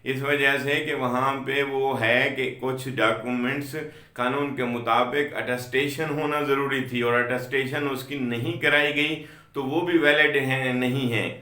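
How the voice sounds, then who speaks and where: Man, Pakistani accent, didactic lecturer, unhurried pace, roomy echo, English legal terms mixed in. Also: mid-range voice, steady tone, bright, forceful tone. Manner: didactic, repeats and stresses key points. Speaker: law lecturer. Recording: roomy, echoing sound.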